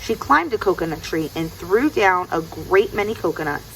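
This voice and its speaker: A woman narrating a children's story aloud in an expressive storytelling style. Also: fairly low pitch, normal speed, a little hiss or hum, low-quality recording. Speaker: storybook reader.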